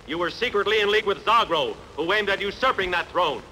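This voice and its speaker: A bold, wronged warrior hero, played by a man, declaims in a highish, very sharp and forceful voice. He is defiant and earnest, as in an old dubbed epic film, with faint noise on the soundtrack. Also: expressive, fast talker, exaggerated voice, poor audio. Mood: defiant, commanding. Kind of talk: dubbed sword-and-sandal film dialogue.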